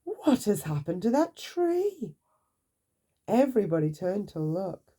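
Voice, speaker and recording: A woman narrating a children's bedtime story aloud, with deliberate, expressive phrasing for young listeners. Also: mid-range voice.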